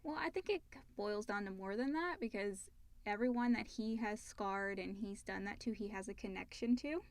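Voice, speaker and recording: A woman's voice: mid-range voice, moderate pace, dry recording. A woman co-hosting a casual chat, talking and reading out questions. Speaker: co-host reading listener questions.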